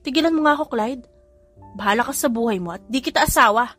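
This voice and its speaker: Irritated young mother, snappy and exasperated, slightly high voice; a woman plays her. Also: animated, quick pace, fairly bright tone, faint music behind. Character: irritated young mother, sharp-tongued and flustered.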